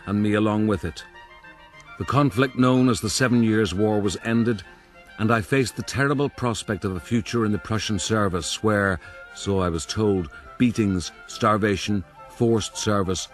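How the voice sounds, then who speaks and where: A man's voice: lowish voice, lightly acted. An actor playing a rueful, boastful soldier-rogue, narrating his own life in a radio drama.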